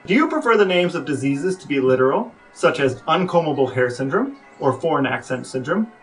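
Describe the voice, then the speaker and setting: A man's voice: fairly high pitch, lively intonation, fairly quick, faint music behind, poor audio. A man co-hosting a podcast, chatting casually and posing questions to the people with him. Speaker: podcast co-host.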